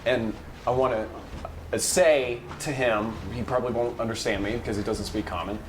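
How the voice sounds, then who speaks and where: A man chatting casually as a player in a tabletop game, joking and animated. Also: medium pitch, normal speed. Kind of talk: casual chat during a game. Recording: noisy room with background ambience.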